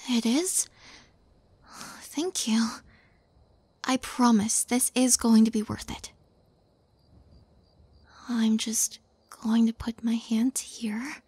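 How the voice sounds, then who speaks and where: A woman playing a sweet, shy young witch healer in an intimate audio roleplay, speaking gently and hesitantly, often near a whisper. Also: on the high side, fairly even intonation, very slow, long pauses, breathy edge, no echo, heavily acted. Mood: tender, concerned.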